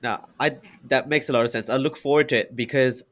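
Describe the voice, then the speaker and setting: A man from New Zealand and the United States hosting a podcast, who asks his questions haltingly with many restarts. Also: medium pitch, fairly even intonation. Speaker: podcast host. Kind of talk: conversational interviewing.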